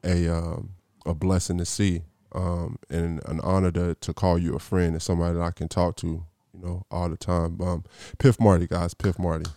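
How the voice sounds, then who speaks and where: A man's voice: sits low, little pitch movement, moderate pace, hardly any pauses, dark tone, no room sound. A man hosting a podcast, telling a rambling, slangy story with lots of restarts. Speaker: podcast host. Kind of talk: casual interview intro.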